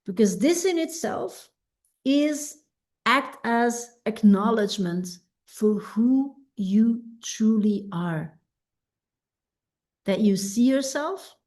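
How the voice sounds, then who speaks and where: A woman coach whose first language is Dutch, teaching a self-help masterclass in an unhurried, reflective way and often checking in with "right?". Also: mid-range voice, a little mellow.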